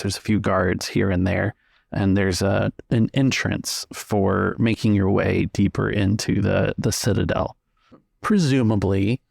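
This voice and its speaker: A man's voice: on the low side, gravelly, darkish tone, no room sound, studio quality. A man improvising narration as the game master of a tabletop roleplaying game.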